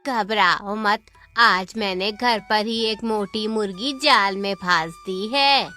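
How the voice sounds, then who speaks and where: A woman acts out a scheming old village mother in a folk tale. The voice is sharp and bright, drawn out very slowly, with honeyed slyness and music underneath. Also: mid-range voice. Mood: sly, scheming. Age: older. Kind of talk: Hindi folk tale voice acting.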